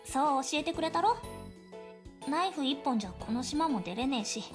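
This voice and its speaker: Blunt, fast-talking tomboy, high voice. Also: female voice, lively intonation. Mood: matter-of-fact. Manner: gruff, blunt, slangy. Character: blunt, tomboyish young woman with rough slangy speech. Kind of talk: Japanese visual novel voice acting. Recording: game music behind the voice.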